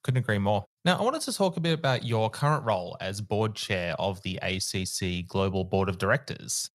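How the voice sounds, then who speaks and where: A man's voice: fairly low pitch, expressive, moderate pace, no echo, clean studio sound. A man hosting an interview, friendly and asking follow-up questions. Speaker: interview host. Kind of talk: conversational interview.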